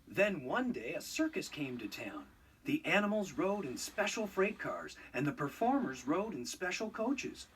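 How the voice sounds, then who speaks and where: A man narrating a children's read-along storybook in an expressive, scripted storytelling style. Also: on the high side, a little slow, breathy edge, far from the mic, low-quality recording.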